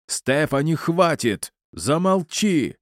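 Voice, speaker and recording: A man's voice: fairly low pitch, expressive, unhurried, darkish tone, dry recording, clean studio sound. A man voicing a stern, hot-tempered father in an audiobook, barking out commands. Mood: furious.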